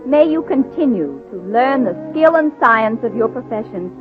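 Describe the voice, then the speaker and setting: An actress playing a kindly but exacting superintendent of nurses in 1940s radio drama style, speaking formally and deliberately. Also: female voice, a little high, lively intonation, music in the background, natural voice. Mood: solemn, ceremonial. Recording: muffled phone-like vintage radio recording.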